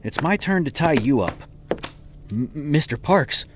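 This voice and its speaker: A man's voice: fairly high pitch, lively intonation, unhurried, background noise. A man playing a glib, cornered villain held captive in a horror audio drama, nervous and stammering. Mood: irritated. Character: cornered captive man, a flippant excuse-making killer.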